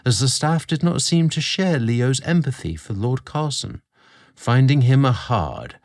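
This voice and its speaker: A British man narrating a scripted story, theatrical and deliberate in his phrasing, drawing out words as a podcast host. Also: mid-range voice, expressive, no echo, clean studio sound.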